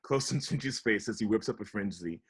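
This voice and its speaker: A man's voice: lowish voice, brisk, breathy edge. A man reading out stage directions as narrator for a comedy script table read.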